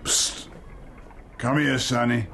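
An actor playing a sly, menacing pirate seaman in a radio drama, with a creaky voice and a falsely friendly, insinuating manner. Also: mid-range voice, level tone, takes it slowly, a bit bright, slight background noise. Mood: wheedling.

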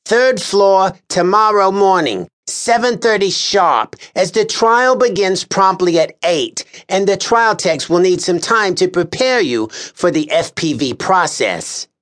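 A man's voice: sits high, expressive, measured pace, hardly any pauses, a bit bright, voice pushed up. A male narrator voicing a vain, officious, short-tempered man who speaks in an exasperated, condescending way. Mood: curt. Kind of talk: audiobook character voice.

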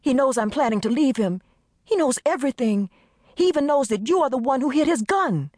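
A female reader performs a panicked best friend with a shrill, soaring voice that climbs in pitch as she pleads. Her delivery is lively and frantic. The recording is close and dry. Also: a little fast, no echo. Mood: frantic pleading.

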